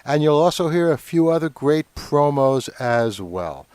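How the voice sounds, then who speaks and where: A man's voice: fairly high pitch, very animated, unhurried, a little mellow, smooth, dry recording. A man hosting a podcast, talking casually to his listeners. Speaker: podcast host. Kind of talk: casual sign-off.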